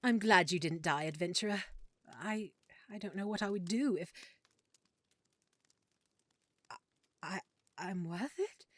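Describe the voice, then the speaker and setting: A woman playing a warm, teasing half-elf merchant in an intimate audio roleplay, speaking playfully and affectionately. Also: on the low side, normal speed, lightly acted. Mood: tender, shy.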